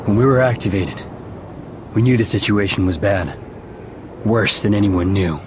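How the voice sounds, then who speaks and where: A man playing a low-voiced, solemn elite agent. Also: expressive, normal speed, background noise, lightly acted. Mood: grave, resolute. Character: grave elite sleeper agent narrating a briefing. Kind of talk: dramatic scripted game cinematic narration.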